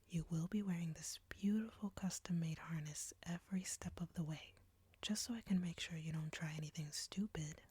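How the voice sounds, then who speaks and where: A woman performs an arrogant, flirtatious female vampire in an intimate audio roleplay. Her voice is a low, very breathy whisper, close and dry. She taunts and commands at an unhurried, domineering pace. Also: mellow, no room sound. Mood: commanding, possessive.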